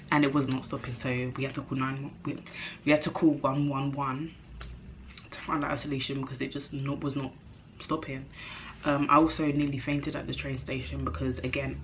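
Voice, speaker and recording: A young woman vlogging to camera in a chatty, animated way, her nose a bit stuffy from a cold. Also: very deep voice, a little hiss or hum, poor audio. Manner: chatty, animated, self-interrupting, slangy. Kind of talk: casual chat to camera.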